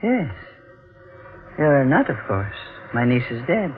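Elderly genteel schemer, mock-courteous, very lively pitch, 1940s radio mystery, narrow old-broadcast sound. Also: male voice, slow pace. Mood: smooth, insinuating. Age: older. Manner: mannered, mock-courteous, insinuating. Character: sly, genteel elderly uncle turned blackmailer. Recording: muffled vintage radio broadcast, faint music.

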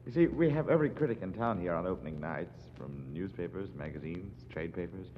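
An actor playing an affable, slightly wary theater producer, chatty and wry, in a 1940s radio drama. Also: male voice, deep voice, expressive, fairly quick. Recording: echoing, muffled 1940s radio recording with hiss.

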